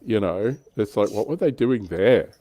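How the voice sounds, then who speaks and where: A man's voice: lowish voice, lively intonation, moderate pace, warm tone, dry recording. A man hosting a livestream, chatting casually and in a self-deprecating way about his tabletop wargaming hobby. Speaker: livestream host.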